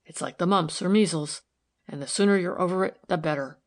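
A woman voicing a stolid, respectful working-class man in an audiobook, with deep tone and rustic, deferential phrasing. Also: brisk, lightly acted. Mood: wry, modest. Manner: rustic, ungrammatical, deferential speech.

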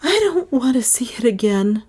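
A woman reader voicing a frightened young woman who has just come out of a faint, speaking in a tremulous, breathy way. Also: fairly high pitch, lively intonation, normal speed, a little mellow, pitched above the performer's own voice. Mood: shaken.

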